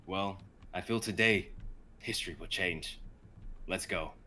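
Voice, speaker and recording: A man's voice: sits low, unhurried, bright, forceful tone, exaggerated voice. A man playing a brave, determined young knight in an amateur fantasy script reading, earnest and declamatory.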